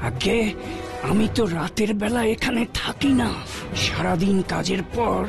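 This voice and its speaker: Creaky-voiced, humble cartoon caretaker. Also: male voice, high-pitched, measured pace, music in the background. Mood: deferential, wary. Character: humble, wary male bungalow caretaker. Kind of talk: dramatized cartoon dialogue.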